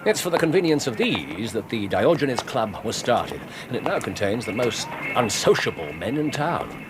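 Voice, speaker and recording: An actor playing a brilliant, self-assured English gentleman detective in a radio drama, lecturing precisely with theatrical emphasis. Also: mid-range voice, sing-song, moderate pace, background noise. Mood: explaining.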